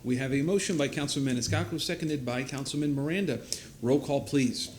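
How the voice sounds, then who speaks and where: A man chairing a city council meeting, running votes and procedure with halting fillers and restarts. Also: mid-range voice, a little mellow. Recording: echoey council chamber with noise.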